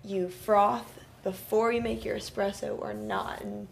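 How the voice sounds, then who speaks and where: A woman moderating the chat of a live stream, reading out viewer questions in a loud voice. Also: medium pitch, big pitch swings, a little slow, gravelly. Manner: reads questions aloud, a loud voice. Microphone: microphone switched off, picked up from afar.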